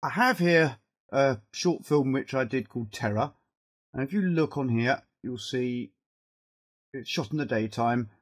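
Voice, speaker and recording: Husky man with a cold, giving a tutorial. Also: mid-range voice. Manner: halting, thinking aloud while working. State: has a cold, losing his voice. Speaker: video editing tutorial presenter. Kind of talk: informal step-by-step software tutorial.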